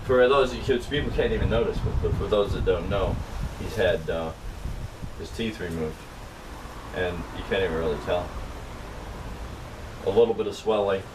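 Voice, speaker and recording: A man from upstate New York telling a long, rambling personal story in casual chat. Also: low-pitched. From Norwich, New York. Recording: distant mic with steady background noise.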